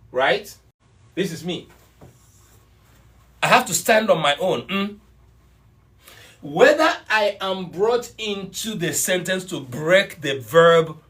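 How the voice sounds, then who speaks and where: Guy teaching an online class, voice goes up and down a lot, slow deliberate talk. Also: a little high, fairly bright tone. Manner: explanatory, repeats points, greets students by name. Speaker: Igbo language teacher. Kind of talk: live online class lecture.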